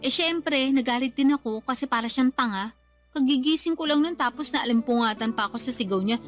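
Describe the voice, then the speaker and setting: A woman's voice: sits high, brisk, natural voice. A young woman acting an aggrieved, whiny grown daughter who defends herself to her mother in a radio drama. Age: young adult. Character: aggrieved grown daughter sulking over a lovers' quarrel. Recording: music under the voice. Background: music.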